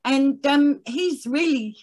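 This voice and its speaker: High-pitched woman telling her story. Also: slow, a little mellow. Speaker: podcast guest, charity chief executive. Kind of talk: conversational interview, personal storytelling.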